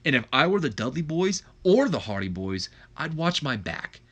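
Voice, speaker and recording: A man plays a stern younger brother in a wrestling storyline. He is blunt, emphatic and hot-headed. The pitch is mid-range but moves a lot. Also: big pitch swings, normal speed, fairly bright tone. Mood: confident. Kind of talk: acted wrestling promo dialogue.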